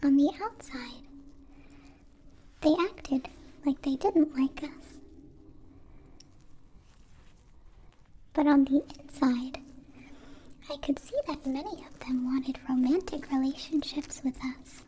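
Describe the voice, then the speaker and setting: A woman voicing a gentle female alien in an ASMR roleplay, whispering softly and breathily with stilted, formal, precise phrasing. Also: high voice, a little slow. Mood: curious, matter-of-fact. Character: gentle captive-born female alien caring for a wounded human.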